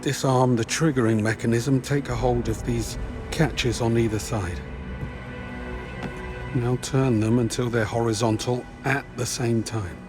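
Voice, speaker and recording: An actor playing a seasoned British secret agent in a game cutscene, measured and dryly instructive. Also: male voice, medium pitch, steady tone, music in the background, lightly acted. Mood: urgent, instructive.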